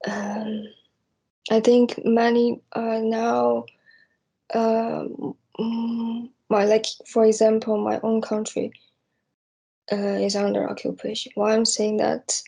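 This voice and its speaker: Slow, hesitant young woman with creaky voice. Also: fairly high pitch, completely flat delivery, mellow, low-quality recording. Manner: hesitant, halting, searching for words. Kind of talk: unscripted spoken reflection on personal hopes.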